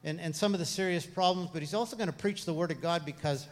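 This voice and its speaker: A man leading a church gathering, introducing a guest informally and haltingly, repeating his phrases as he goes. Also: on the high side. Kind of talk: informal spoken introduction to a congregation. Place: large room, church service. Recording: echoey hall with long reverb.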